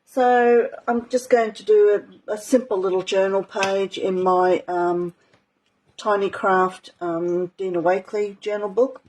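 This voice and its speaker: A woman teaching a live art journaling session in a casual, rambling way, with many ums and a slightly croaky voice. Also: mid-range voice, fairly even intonation, measured pace, a little mellow, poor audio. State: croaky, clearing her throat. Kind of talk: casual live craft demonstration.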